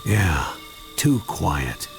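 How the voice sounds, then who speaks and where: A man's voice: low voice, sing-song, extremely slow, vocal fry, over loud music, exaggerated voice. A man playing a grave, seasoned older monster-fighting mentor in a video game, authoritative and explanatory. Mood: wary. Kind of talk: video game cutscene voice acting.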